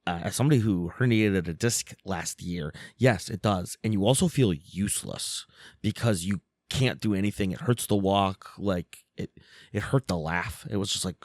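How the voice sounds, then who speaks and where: A man co-hosting a casual wrestling podcast, a little hoarse and tired, talking with animated enthusiasm. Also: low-pitched, moderate pace, dry recording, clean studio sound. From Philadelphia area. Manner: animated, reads out quotes with big swings. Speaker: podcast co-host. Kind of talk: casual chat.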